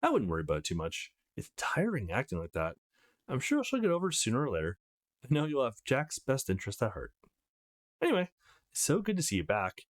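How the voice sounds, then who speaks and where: A man acts out a motherly older woman from a visual novel. She fusses gently and reassures, kindly and a little wistful, with pitch that moves up and down a lot. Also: wildly swooping pitch, a little fast, dry recording, studio quality. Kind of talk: visual novel character reading.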